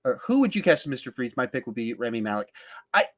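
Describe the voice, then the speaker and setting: A man with a medium-pitched voice hosts a casual live film podcast. He reads viewer questions aloud and answers conversationally. His delivery is emphatic, and he often works out his thoughts as he speaks. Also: big pitch swings, fast talker, a bit bright. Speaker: podcast host.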